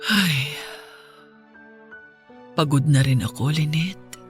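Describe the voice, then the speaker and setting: An actress playing a weak, gentle, ailing Filipino nanny in a radio drama, weary and resigned. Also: female voice, sits low, slow, faint music behind.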